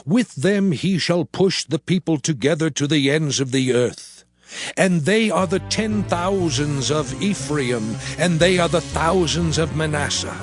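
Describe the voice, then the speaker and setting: A man playing Moses, a solemn patriarch, in a declamatory, measured dramatized scripture reading. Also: on the high side, soft background music, natural voice. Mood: solemn, exalted.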